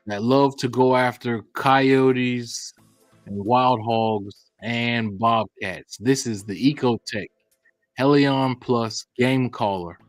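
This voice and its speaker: A man's voice: mid-range voice, dry recording. A man hosting a podcast, upbeat in his announcements and casual in conversation, calling his guest bro. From United States. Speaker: podcast host.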